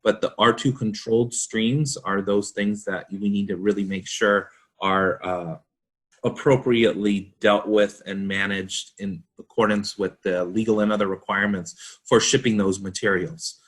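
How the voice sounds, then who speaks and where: Low-voiced man, halting explainer. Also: fairly even intonation. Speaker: consultant discussing a recycling standard. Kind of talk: explanatory panel discussion.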